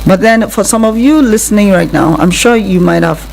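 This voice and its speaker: A woman's voice: medium pitch, normal speed, gravelly, darkish tone, slight background noise. A woman with a Nigerian accent hosting radio, talking conversationally and telling personal stories. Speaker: radio host.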